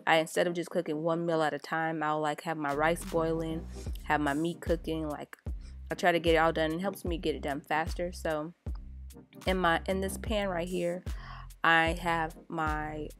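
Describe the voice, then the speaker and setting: A mom in her thirties talks through her cooking in a relaxed, rambling way, full of fillers. Her voice sits a little low for a woman. Soft music plays faintly underneath. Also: normal speed. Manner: chatty and relaxed, many fillers. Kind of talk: casual cooking voice-over.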